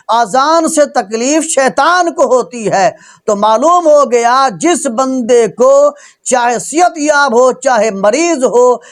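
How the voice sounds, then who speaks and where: A man preaching an Islamic sermon as a rebuttal, emphatic and admonishing. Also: very high voice. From Pakistan. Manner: emphatic, admonishing, rhetorical questioning. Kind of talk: religious sermon, argumentative rebuttal.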